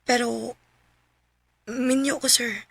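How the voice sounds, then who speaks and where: An actress playing a gentle, affectionate married woman in love with an older man in a Cebuano radio romance drama, with a Cebuano accent. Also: fairly high pitch, steady tone, slow, vocal fry, breathy edge, dry recording, exaggerated voice. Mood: hesitant.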